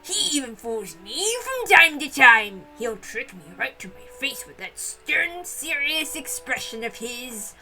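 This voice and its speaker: A woman's voice: high voice, sing-song, unhurried, few pauses, sharp tone, soft background music, heavily acted, rough recording. A woman voicing a hearty old grandfather, a fond and boisterous master of the house, in a visual novel reading. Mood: amused, fond.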